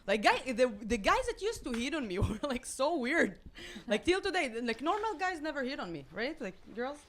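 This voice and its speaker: A woman in her late twenties from Israel, with an Israeli accent and Hebrew as her first language, performing stand-up with deadpan, self-mocking delivery and halting restarts. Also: high-pitched, slight background noise. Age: late 20s. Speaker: stand-up comedian. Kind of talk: stand-up routine. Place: comedy club stage with a live audience.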